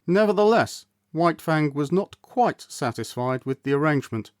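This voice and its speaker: A man reading a literary story aloud, with measured, deliberate phrasing and dramatic emphasis. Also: on the high side, expressive, dry recording, studio quality. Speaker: storyteller, audiobook reader. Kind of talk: scripted literary narration, storytelling.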